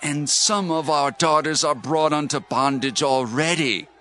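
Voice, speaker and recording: A man's voice: fairly high pitch, measured pace, sharp tone. A man playing an aggrieved common father, earnest and lamenting, in a dramatized scripture reading.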